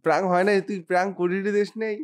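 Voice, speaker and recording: A man's voice: high voice, flat delivery, rapid-fire. A young man with a North Bengal accent in a casual, teasing phone chat, often repeating himself as a YouTube prankster.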